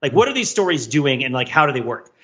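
Man, casual podcast discussion, emphatic, very lively intonation, bright tone, repeats himself for effect. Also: mid-range voice, fast talker, dull audio. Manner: emphatic, repeats phrases for effect, acts out imagined quotes. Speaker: podcast host. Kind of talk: casual pop-culture discussion.

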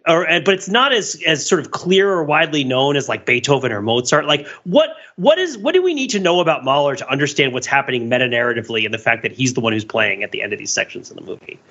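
A man's voice: on the high side, bright, forceful tone, breathy edge, highs cut off. A man chatting as a podcast panelist, inquisitive and wordy in a casual conversational style.

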